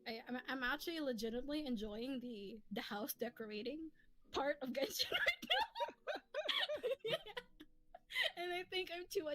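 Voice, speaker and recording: High-voiced, animated woman streamer. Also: a little slow. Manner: animated, giggly, rambling. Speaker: streamer and host who voices comic dubs. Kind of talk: casual livestream chat with friends. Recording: lofi music playing behind. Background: soft lofi background music.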